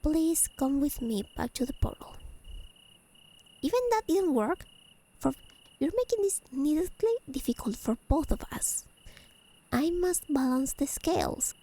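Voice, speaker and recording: A high, mellow voice with a creaky edge from a woman playing a haughty fae lady of the high realms. She is teasing and possessive, with elegant, formal phrasing. It is soft-spoken ASMR roleplay, with crickets chirping in the background. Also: lively intonation, a little slow, long pauses, rough recording. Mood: exasperated.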